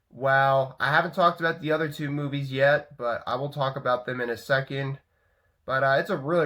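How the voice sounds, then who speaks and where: A young man talks through a movie review while sick with a head cold, his throat sore. He rambles, restarting sentences and leaning on "so, uh" fillers. His pitch sits in an everyday middle range. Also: bright, forceful tone. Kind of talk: casual vlog review.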